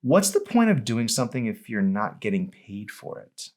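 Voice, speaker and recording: Man voicing a haughty teen girl, pitched way up. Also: very animated, moderate pace, a little mellow. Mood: dismissive. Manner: breezy, dismissive, coy.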